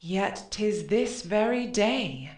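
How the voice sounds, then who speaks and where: A woman's voice: mid-range voice, expressive, slow pace, darkish tone. Lilting, fearful woman as chorus member. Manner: solemn, questioning. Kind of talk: verse stage drama. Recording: echoey room.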